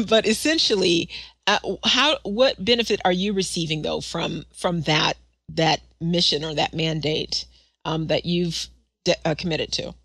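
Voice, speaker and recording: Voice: medium pitch, unhurried, vocal fry, fairly bright tone, dry recording. An interviewer asking long, rambling questions in a conversational way, with many fillers.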